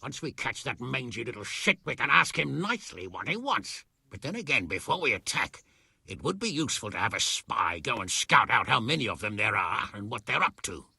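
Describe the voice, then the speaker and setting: An actor playing a blunt, foul-mouthed middle-aged knight captain in a video game. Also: male voice, mid-range voice, sing-song, a little fast, bright, forceful tone, no room sound, heavily acted. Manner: gruff, profane.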